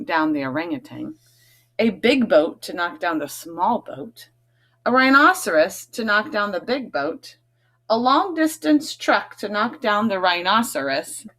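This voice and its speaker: A woman's voice: mid-range voice, fairly bright tone. A woman reading a picture book aloud to children, stressing key words expressively and adding chatty, questioning asides. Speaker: read-aloud host, teacher reading to children.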